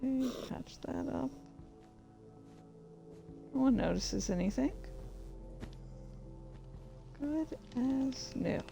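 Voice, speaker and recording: A woman's voice: high voice, animated, extremely slow, creaky, pauses often, dark tone, soft background music. A woman hosting a casual livestream, rambling and narrating her own actions with exclamations as she plays a game. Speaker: livestreamer and host. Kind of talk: casual livestream chat while playing a game.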